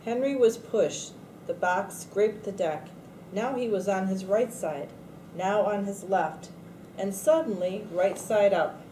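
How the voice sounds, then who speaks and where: Slow mellow lady reading a storybook out loud, echoey room. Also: mid-range voice, distant mic. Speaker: storybook reader at a read-aloud event. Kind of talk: scripted picture-book read-aloud. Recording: echoey room, faint background noise.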